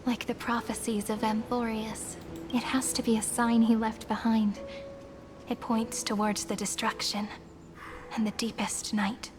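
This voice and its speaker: An actress voicing a gentle, wistful young fantasy game heroine, speaking in a measured, tender, poetic way. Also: female voice, on the high side, little pitch movement, background noise, natural voice. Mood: wistful, solemn. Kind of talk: scripted fantasy game voice acting. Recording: long reverb under game music.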